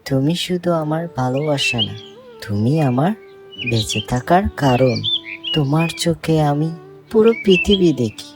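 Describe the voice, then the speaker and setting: A woman's voice: deep voice, animated, measured pace, voice pushed down. A woman voicing a devoted, generous male songbird, a husband and father, speaking tenderly and earnestly in a sentimental cartoon. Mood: tender, romantic. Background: music and birdsong.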